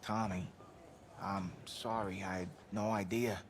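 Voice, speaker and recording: An earnest young quarterback with a Jersey accent. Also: male voice, low voice, level tone, a little slow. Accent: New Jersey. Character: young male backup quarterback, earnest teammate. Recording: game audio with background noise.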